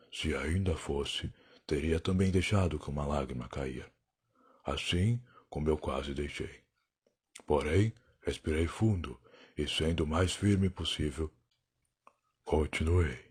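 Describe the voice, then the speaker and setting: A man performs a weary, sardonic alien wanderer telling his own dark tale in an audio drama. The voice is extremely low and very rough, with a Brazilian accent. He is theatrical, brooding and defiant. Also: slow, heavily acted. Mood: somber. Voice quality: husky, gravelly. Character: weary, defiant alien time-traveler, sardonic adult male. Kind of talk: dramatic first-person audio drama narration.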